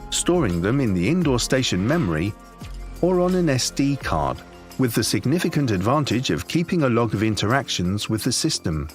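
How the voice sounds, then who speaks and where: A man reading scripted corporate product narration in a measured, formal presentational manner. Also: medium pitch. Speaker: promotional video narrator.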